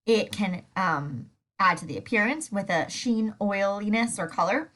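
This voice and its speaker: Woman lecturer with a cold, stuffy and sore-throated, bright tone, easygoing with frequent ums. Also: mid-range voice, measured pace. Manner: relaxed, with many fillers. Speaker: food science teacher. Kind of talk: recorded lecture over slides.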